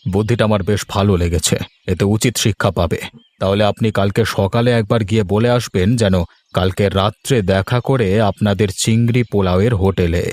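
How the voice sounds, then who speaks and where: A man's voice: on the low side, steady tone, a little fast, darkish tone, dry recording, natural voice. A man playing an authoritative, reassuring village headman in a cartoon moral story, with a paternal manner. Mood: approving.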